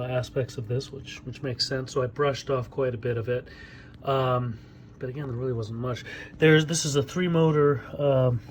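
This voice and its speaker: A middle-aged man giving a casual hands-on demonstration of equipment he repairs, speaking off the cuff with hesitant pauses as he works. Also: mid-range voice, a little mellow, a little hiss or hum. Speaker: hobbyist audio equipment repairer demonstrating a tape deck.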